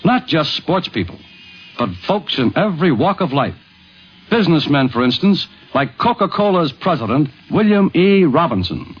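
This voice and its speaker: A man's voice: fairly high pitch, sing-song, normal speed, husky. A man with an American accent narrating a scripted 1950s radio tribute as its host. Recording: muffled vintage 1950s radio recording.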